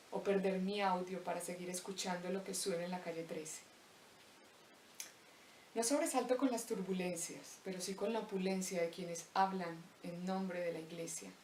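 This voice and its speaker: A young woman from Colombia with a Paisa accent, slowly reciting a reflective spoken-word poem with deliberate pauses. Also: lowish voice, a little mellow, slight background noise. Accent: Paisa Colombian Spanish. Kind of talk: spoken-word poetry monologue. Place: empty abandoned house. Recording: roomy echo, voice distant from mic.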